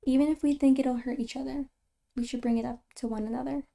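A woman playing a caring, reassuring girlfriend in an ASMR roleplay, soft-spoken and breathy, close to a whisper, with halting, tender delivery. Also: high-pitched, fairly even intonation. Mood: tender reassurance.

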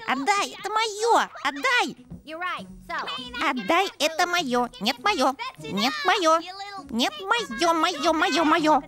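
A woman's voice: very high-pitched, lively intonation, slow pace, exaggerated voice. A woman voicing hungry, squabbling baby eaglets in a dubbed cartoon. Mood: whiny. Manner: whiny and grabby. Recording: music bed.

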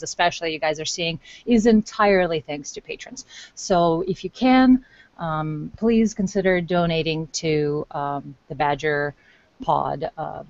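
A woman hosts a casual podcast, talking in a loose, rambling way full of ums and restarts. Her voice sits on the low side. It comes through a dull, dry video-call line. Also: no room sound. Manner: rambling, many fillers and restarts. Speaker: podcast host.

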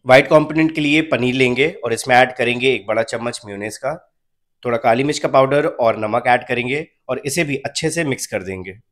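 A young man calmly narrating a home cooking recipe step by step as the channel's host. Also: medium pitch. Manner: calm, step-by-step instructional.

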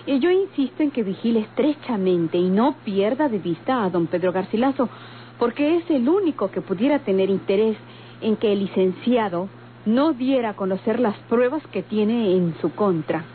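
A woman's voice: fairly high pitch, expressive, normal speed, natural voice. Actress as earnest Mexican lady, tinny sound. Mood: earnest, hesitant. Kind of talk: old-time radionovela acting. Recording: tinny, hissy old radio recording.

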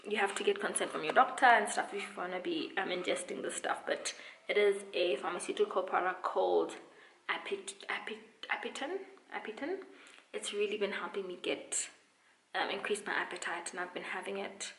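A woman's voice: medium pitch, fairly bright tone. A woman in her twenties chatting casually to camera, playful and emphatic, often repeating words for stress. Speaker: vlogger, dancer and dance instructor. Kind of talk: casual vlog chat to camera. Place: small room at home, indoors. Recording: roomy indoor echo.

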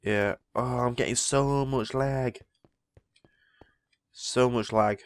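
A man who is feeling ill gives rambling, easily impressed commentary as he plays. His voice sits at a middling pitch, recorded dry and close on a desktop mic. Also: steady tone, slow, no room sound. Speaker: Minecraft let's player. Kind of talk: casual gameplay commentary.

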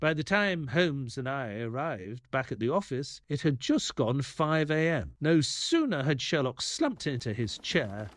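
A man playing a detective's loyal assistant who narrates an audio drama in a measured storytelling manner. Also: mid-range voice, very animated. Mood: suspenseful storytelling.

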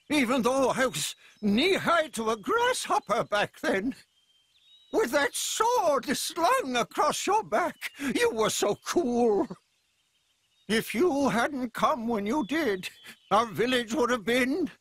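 A man's voice: very high voice, fairly bright tone, no room sound, full character voice. A man voicing a folksy, admiring villager who reminisces in a video game. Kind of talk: video game cutscene acting.

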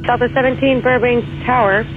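A man speaks as an air traffic controller in a high, fast voice. He gives brisk standard phraseology over a tinny aviation radio, with clear noise behind him. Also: steady tone. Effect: aviation radio transmission.

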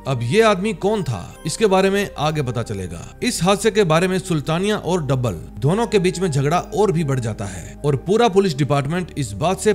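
A man narrating a scripted recap of a series in an explanatory, storytelling way. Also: on the high side. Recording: voice-over with background music. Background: music.

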